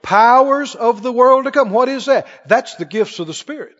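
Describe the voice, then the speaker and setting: A man's voice: extremely high pitch, expressive, moderate pace, fairly bright tone. A man preaching in an emphatic, questioning way, teaching from the Bible to a congregation. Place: large church hall, before a congregation. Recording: muffled, echoing large hall.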